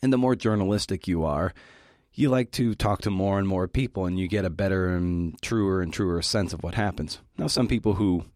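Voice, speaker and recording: Male podcast host, deep voice, very dry sound, conversational scripted talk with wry, keen asides. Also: moderate pace, darkish tone, dry recording.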